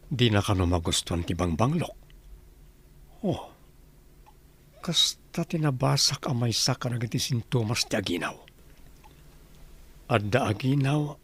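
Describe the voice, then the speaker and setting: A man's voice: mid-range voice, lively intonation, a little slow, long pauses, slightly rough. A man playing a stern, bossy mansion master in an Ilocano comedy radio drama, commanding and scolding with an Ilocano accent. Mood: stern, probing.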